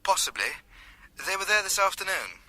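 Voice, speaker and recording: Keen British junior detective: highish, piercingly bright voice, dutiful and efficient, radio drama. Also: male voice, sing-song, a little fast, heavily acted, low-quality recording. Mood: eager, businesslike. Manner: crisp, dutiful reporting. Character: keen, efficient junior male detective reporting to his chief.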